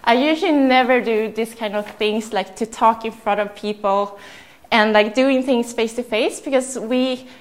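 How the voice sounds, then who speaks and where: A young Swedish-accented woman speaking to a room of listeners, chatty and a little nervous, laughing at herself often. Her voice sits fairly high. The sound carries noticeable echo from the room. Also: normal speed. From Sweden. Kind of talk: nervous live talk to a small audience. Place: room with audience.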